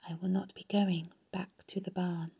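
A woman voicing a dying female spider in a storybook, composed, matter-of-fact and gently firm. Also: medium pitch, animated, moderate pace, voice pushed up. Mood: weary, resigned.

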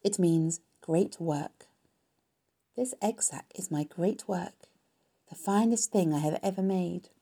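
A woman voicing a wise, motherly, tired female spider from a storybook, speaking softly in a measured, dignified way. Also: on the low side, slightly rough, exaggerated voice. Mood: weary pride. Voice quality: weak, soft.

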